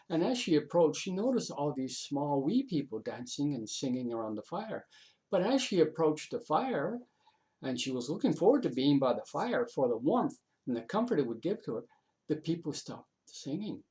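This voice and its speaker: Man storyteller with a mellow voice. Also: on the high side, poor audio. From Canada. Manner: halting, folksy, repeats himself. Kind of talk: informal storytelling, unscripted.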